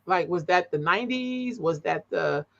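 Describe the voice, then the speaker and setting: A woman's voice: lowish voice, animated, normal speed, vocal fry, a bit bright. A woman, hosting a casual conversational interview over an online call. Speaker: interview host. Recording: dull, lo-fi online call audio.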